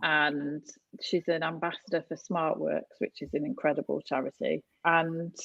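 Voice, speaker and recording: Gravelly, low-voiced woman chatting casually. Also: level tone, moderate pace, a bit bright, dry recording, lo-fi sound. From North West England. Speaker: podcast guest, printmaker. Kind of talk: casual interview chat.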